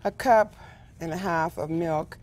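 A woman's voice: fairly low pitch, a little slow, a little mellow. A middle-aged woman giving a casual, step-by-step cooking demonstration as a guest cook in a studio kitchen. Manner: instructive, step by step.

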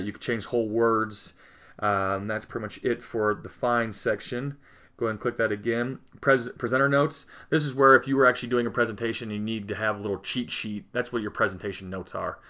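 A man with a somewhat low voice guides viewers through an app's settings in an unscripted, easygoing way. He fills pauses with "uh" and "um" and corrects himself mid-sentence. It sounds like a casual tutorial host. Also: fairly bright tone. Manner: casual, frequent fillers and self-corrections. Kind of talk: unscripted screen-recording walkthrough.

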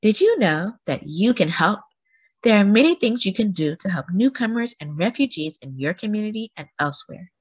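A woman reading a children's book aloud for a classroom, slow and careful with lively rises on key words. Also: medium pitch, husky, no room sound.

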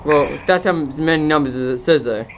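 A man making a phone call, speaking in a hesitant, halting way. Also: fairly high pitch. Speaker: prank caller. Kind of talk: phone conversation. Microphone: recorded beside a phone on speaker. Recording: faint background noise.

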